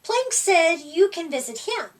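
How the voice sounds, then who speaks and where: A woman voicing an eager young male cat from a picture book, with an excited storybook delivery. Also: very high-pitched, unhurried, exaggerated voice. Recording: voice from far off the mic.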